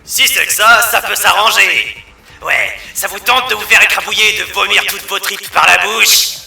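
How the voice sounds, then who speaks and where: Gravelly, high, rowdy robot cub. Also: male voice, moderate pace, pushed and bright, roomy sound, soft background music, full character voice. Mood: menacing. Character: rowdy, violent, gleefully threatening killer robot cub. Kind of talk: amateur French video game dub.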